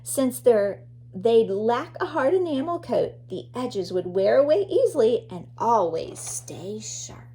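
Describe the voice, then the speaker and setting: A woman's voice: on the high side, big pitch swings, measured pace, warm tone. A woman teacher reading a children's nonfiction book aloud expressively, addressing young listeners.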